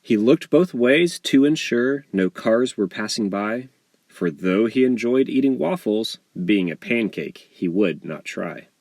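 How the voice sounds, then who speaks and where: Slow, animated male storybook narrator. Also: lowish voice, no echo. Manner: expressive, measured storytelling delivery. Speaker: author reading his children's book aloud. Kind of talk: scripted storybook narration in rhyme.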